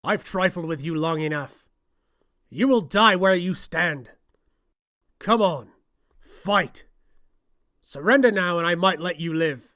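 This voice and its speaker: A man's voice: high-pitched, takes it slowly, long pauses, bright, forceful tone, no echo, clean studio sound, exaggerated voice. An amateur actor, a man with an Australian or New Zealand accent, playing a grave, loyal guard of a fantasy empire in a game audition. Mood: threatening, defiant. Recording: home audition with background bumps and noise.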